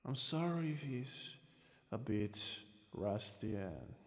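A man with an Italian accent playing a courteous, sly vampire lord in a hushed, halting ASMR roleplay. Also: mid-range voice, very animated. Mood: courteous, faintly menacing. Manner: halting, hushed, slipping into whispers and soft chuckles. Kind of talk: ASMR roleplay, improvised acting. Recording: reverberant hall sound with music behind. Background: music.

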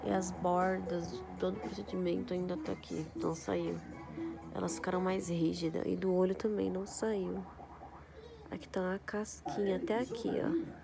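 Gravelly low woman with a flu. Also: fairly even intonation, over music. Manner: talks slowly through skin recovery, showing details. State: congested with flu, scratchy throat. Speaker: beauty vlogger. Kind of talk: casual vlog to camera. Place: inside a parked car, later a home sofa. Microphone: phone camera at arm's length.

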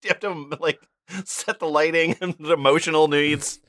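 A woman's voice: deep voice, fairly even intonation, moderate pace, fairly bright tone. A woman bantering casually on a comedy podcast in a wry, teasing way. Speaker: podcast panelist.

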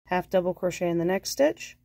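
A woman with a cold, sounding nasal, calmly talking through a crochet tutorial step by step and counting stitches aloud. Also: on the low side, fairly quick, vocal fry, no room sound. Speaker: crochet instructor.

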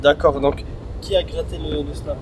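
A man's voice: on the high side, steady tone, moderate pace. A young man chatting casually and teasingly with friends in slangy vlog style. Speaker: vlogger. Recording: noisy outdoor and in-car vlog audio.

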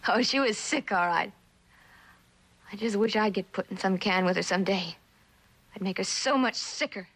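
A streetwise young woman from a vintage television suspense drama. Her voice sits at an average pitch. She talks bluntly in street slang, tough and defiant, with a wisecracking edge. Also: moderate pace, long pauses, a bit bright, breathy edge. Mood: bitter.

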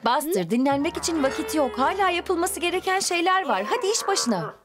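A woman's voice: extremely high pitch, big pitch swings, a little fast. A woman playfully narrating a toddlers' cartoon, encouraging and exclaiming as she addresses the characters. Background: music.

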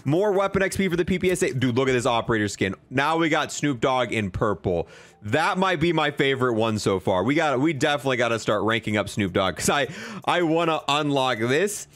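A man hosting a game review video with excited commentary, talking in an enthusiastic, slangy bro style. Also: medium pitch, fairly quick.

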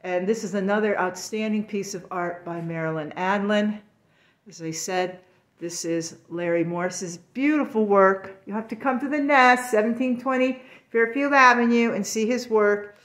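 A woman's voice: mid-range voice, a bit bright. A woman artist giving a casual, enthusiastic walkthrough of a gallery show, filmed on a handheld phone. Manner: chatty, enthusiastic, unhurried. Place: gallery in an old brick factory building.

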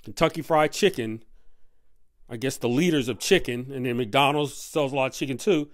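A man hosting a video, giving unscripted commentary in a halting way, repeating and stressing his points. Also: mid-range voice. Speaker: video host.

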